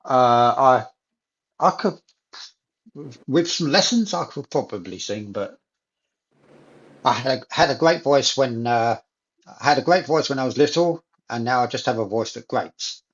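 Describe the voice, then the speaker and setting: A man's voice: medium pitch, expressive, unhurried, long pauses, lo-fi sound. A man in a casual chat, talking enthusiastically and repeating phrases for emphasis.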